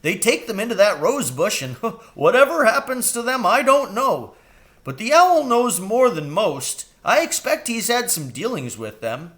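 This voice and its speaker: A man voicing a young male crow in a children's storybook, chatty, naive and impatient, with an excitable, stammering delivery. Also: sits high, measured pace, voice pushed up, exaggerated voice. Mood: excited, gossipy. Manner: excitable, stammering, swooping upward. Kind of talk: children's storybook read aloud.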